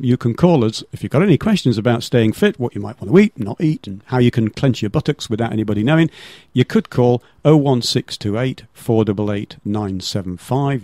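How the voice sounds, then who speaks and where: A man's voice: on the low side, mellow, no room sound. A man hosting a casual local radio interview in a jokey, bantering way. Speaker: radio show host. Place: radio studio.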